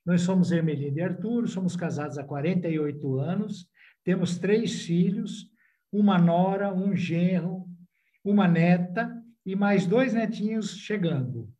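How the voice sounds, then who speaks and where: A man's voice: high-pitched, unhurried, warm tone. A man in his sixties, born in Italy with Italian as his first language, speaking formally as a podcast guest. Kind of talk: podcast interview.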